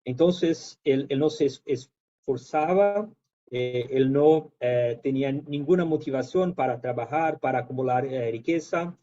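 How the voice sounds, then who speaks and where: Halting Brazilian-accented man lecturing. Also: medium pitch, mellow, dull audio. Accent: Brazilian Portuguese. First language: Portuguese. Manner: hesitant, many fillers and restarts. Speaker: guest lecturer. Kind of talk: academic presentation.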